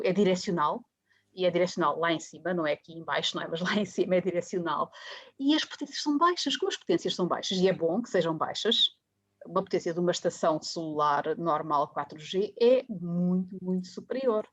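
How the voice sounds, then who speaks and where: A woman's voice: lowish voice, no echo. Explaining woman with a European Portuguese accent. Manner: explanatory, many restarts. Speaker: guest speaker, professor. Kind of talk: webinar talk.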